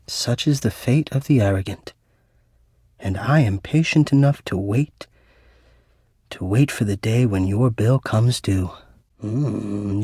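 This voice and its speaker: A man's voice: mid-range voice, warm tone, breathy edge, dry recording, studio quality. An actor voicing a sentient home ventilation system in a video game, an eloquent, dramatic male romantic with florid, formal delivery. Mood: scornful, then courteous, welcoming. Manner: florid, theatrical, formal wording.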